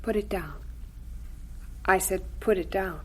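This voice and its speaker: A woman's voice: medium pitch, slightly rough, rough recording. An actress playing a stern but caring, devout mother in a radio drama, speaking firmly and earnestly. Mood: stern, grave. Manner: firm, earnest, deliberate.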